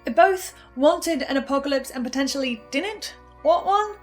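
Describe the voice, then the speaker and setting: A high-pitched voice for a woman acting a brooding, defiant female researcher. She speaks in a dramatic, halting monologue, tripping over words and pausing with intensity. Faint music plays behind her. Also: animated. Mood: hesitant musing. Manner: halting, stammering, intense, with pauses. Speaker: host reviewing a fiction podcast in character.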